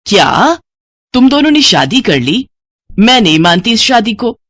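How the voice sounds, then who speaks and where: A woman's voice: a little high, sing-song, unhurried, a bit bright, no room sound, clean studio sound, full character voice. A woman voicing a scornful, domineering middle-aged mother-in-law in a moral story, taunting and disapproving. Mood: outraged.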